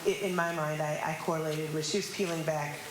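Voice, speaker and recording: A woman explaining informally in an interview, reflective and hesitant, with halting phrasing. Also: sits low, steady tone, noisy background. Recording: roomy echo under loud music.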